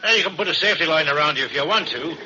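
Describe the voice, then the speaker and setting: An actor playing a gruff, impatient drilling foreman in a 1940s radio drama, brusque and bossy. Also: fairly high pitch, expressive, rapid-fire, background noise, lightly acted. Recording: dull 1940s radio broadcast.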